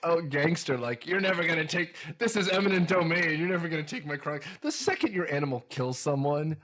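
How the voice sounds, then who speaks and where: A man hosting a casual movie-review podcast, jokey and excitable, his sentences dropping low and quiet at the ends. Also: fairly quick, a crowd in the background.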